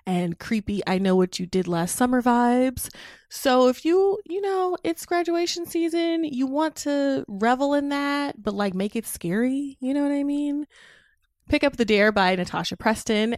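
A woman's voice: medium pitch, no room sound, studio quality. A woman reading podcast sponsor spots from a script, loosened with casual, sassy, conversational asides. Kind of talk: scripted ad read with casual ad-libs.

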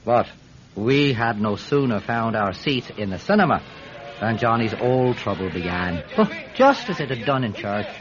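An actor playing an eager Irish peat seller testifying in court in a classic radio drama, hesitant, stammering and forever digressing. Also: male voice, mid-range voice, very animated, moderate pace, natural voice. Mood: storytelling. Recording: muffled, hissy vintage radio recording.

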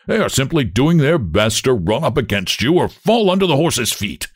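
A man's voice: medium pitch, big pitch swings, moderate pace, slightly rough, dry recording, clean studio sound, pitched above the performer's own voice. Man playing a curt, lordly officer. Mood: amused. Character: curt, lordly male army officer riding as passenger.

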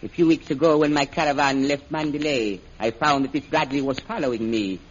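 Muffled old radio serial: male actor as calculating foreign prince, formal, measured, quietly menacing villain. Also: mid-range voice, natural voice. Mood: smooth, explanatory. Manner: formal, measured, coolly imperious. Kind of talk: 1930s American radio serial acting.

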